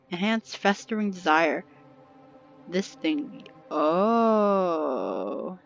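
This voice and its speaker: A woman comments casually on a game she is playing. She thinks aloud in an informal, reactive way, recorded with a slightly dull sound and with music behind her. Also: deep voice. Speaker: gamer commentating on play. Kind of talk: casual let's play commentary.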